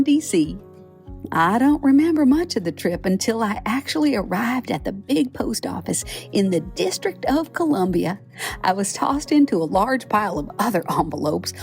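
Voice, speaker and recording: A woman's voice: medium pitch, a little mellow, lightly acted. A woman voicing a chatty application paper. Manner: folksy storytelling, cheerful asides. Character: chatty cheerful female membership application paper. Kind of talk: scripted podcast narration.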